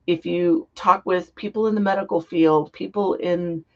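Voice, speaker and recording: Woman guest, slightly hoarse from a cold, earnest and heartfelt delivery; gravelly voice, muffled lo-fi recording. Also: lowish voice, fairly even intonation, moderate pace. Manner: earnest, heartfelt, flowing explanations. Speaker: guest psychic. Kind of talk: interview conversation. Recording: dull lo-fi sound.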